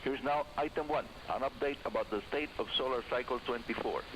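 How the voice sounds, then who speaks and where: A man with a Cuban accent, a native Spanish speaker, hosting a radio hobby program in a deliberate announcing style over a telephone line on shortwave radio. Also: mid-range voice, a bit bright. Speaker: radio host. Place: on a phone line linked to the studio. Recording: hissy, noisy shortwave broadcast, telephone-line audio.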